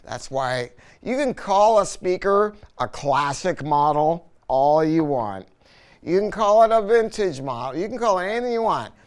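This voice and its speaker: A man's voice: high voice, big pitch swings, a little slow, clear, resonant tone. A man giving an unscripted product review, telling stories in an emphatic, colloquial way with frequent rhetorical check-ins. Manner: emphatic, colloquial, storytelling with rhetorical tag questions. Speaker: product reviewer and audio dealer. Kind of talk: unscripted sales-style product review talk.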